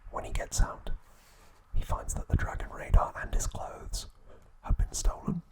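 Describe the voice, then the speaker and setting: Whispering man narrating ASMR trivia. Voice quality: breathy, unvoiced whisper. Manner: hushed, measured delivery in short phrases. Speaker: ASMR host.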